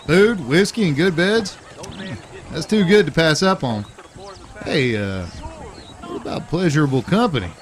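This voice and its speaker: A man as an excitable, high-pitched young cowboy. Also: a little slow, long pauses. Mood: teasing. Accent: American, Southern or Western drawl. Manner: excitable, boyish. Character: eager, impulsive, mischievous youngest brother, Old West gunslinger. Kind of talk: Western audio drama acting.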